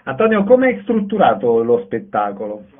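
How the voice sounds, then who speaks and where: Male radio host for interviews, mid pitch, slightly muffled lo-fi recording. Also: very animated, moderate pace. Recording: dull lo-fi radio sound.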